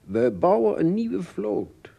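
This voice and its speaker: Tiny courteous king: creaky, husky, unhurried, regal radio-play delivery. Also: sits high, sing-song, lo-fi sound, full character voice. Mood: friendly, regal. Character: friendly, tiny foreign king of Blefuscu.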